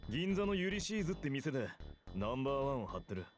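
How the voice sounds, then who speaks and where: A man playing a cocky, fast-talking nightclub charmer. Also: medium pitch, sing-song, natural voice. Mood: cocky, flirtatious. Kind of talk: Japanese visual novel game voice acting. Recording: game audio with music behind.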